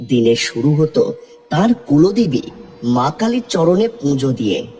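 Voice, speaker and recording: A man's voice: on the high side, big pitch swings, unhurried, warm tone. A man giving a scripted, dramatic narration of a story. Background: music.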